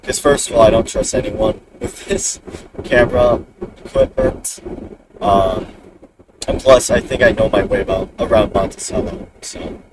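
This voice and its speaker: Man, casual in-car livestream chatter, rambling, lively pitch swings, mic set back, clear road noise. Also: normal speed, recorded from a distance. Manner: rambling, talks to himself while driving. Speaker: storm chaser streaming live. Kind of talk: casual driving commentary.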